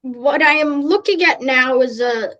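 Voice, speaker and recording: A woman playing an earnest, melodramatic, easily flustered radio news reporter in a comic radio play, halting and polite. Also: high voice, fairly bright tone, dull audio. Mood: breathless awe.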